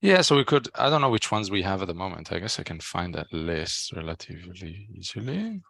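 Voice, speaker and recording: Guy in his 30s, deep gravelly voice, kinda tentative tech chat, dry headset sound. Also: big pitch swings, fairly quick, a bit bright, no echo. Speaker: software developer on a working group call. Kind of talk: casual technical discussion. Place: small room at home, video call.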